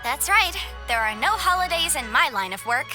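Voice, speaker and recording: A witty young advisor. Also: female voice, high-pitched, sing-song, music in the background. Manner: playful, self-assured, quick comebacks. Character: young legal advisor woman, lively and witty. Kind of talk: game cutscene voice acting.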